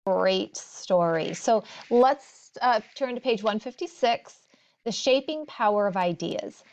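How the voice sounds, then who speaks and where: Slow-paced woman teacher reading aloud. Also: mid-range voice, lively intonation, darkish tone, muffled recording. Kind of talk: read-aloud of story and textbook.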